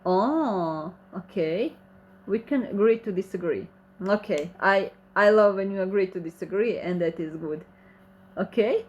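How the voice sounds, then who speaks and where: A woman's voice: medium pitch, a little slow, a little mellow, muffled recording. Croatian-accented woman answering live chat. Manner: reads viewer comments aloud and answers them, light laughs. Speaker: channel host reading chat questions. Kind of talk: casual livestream Q&A.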